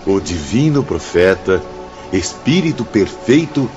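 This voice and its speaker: An actor playing an awestruck repentant spirit in a radio drama, earnest and deliberate, with a Brazilian accent. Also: male voice, sits low, big pitch swings, darkish tone, faint music behind. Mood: awed conviction. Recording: dull recording with faint background ambience.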